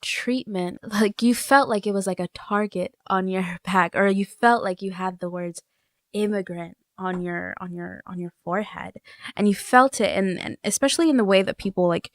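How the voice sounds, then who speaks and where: A woman's voice: mid-range voice, normal speed, no room sound, clean studio sound. A woman co-hosting a conversational podcast interview, affirming her guest and rephrasing her thoughts as she goes.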